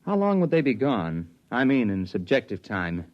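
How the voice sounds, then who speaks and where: A man's voice: medium pitch, big pitch swings, moderate pace, natural voice. An American actor playing a wry, plainspoken everyman time traveler in a 1950s radio drama. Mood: hungry, wry. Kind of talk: 1950s radio drama acting, narration and dialogue. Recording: dull vintage 1950s radio recording.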